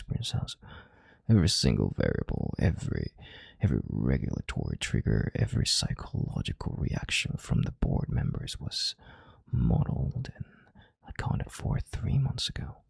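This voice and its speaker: Casting: male actor as an arrogant, controlling executive, extremely low gravelly voice, breathy half-whisper, close and dry, for intimate audio roleplay. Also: huge pitch swings, a little mellow, no room sound, exaggerated voice. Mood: smug, soothing. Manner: slow, deliberate, condescending, authoritative. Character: calm, controlling, arrogant CEO soothing his analyst.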